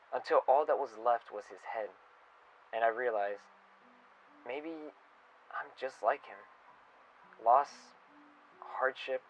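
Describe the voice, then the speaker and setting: Guy playing a thoughtful wistful staffer doing an audio log, kinda halting n earnest, muffled rec w music behind. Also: medium pitch, fairly bright tone, close to an everyday voice. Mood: reflective. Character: reflective, wistful male staff member logging an audio report. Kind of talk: audio log monologue with storytelling. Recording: dull lo-fi recording with music. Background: music under the voice.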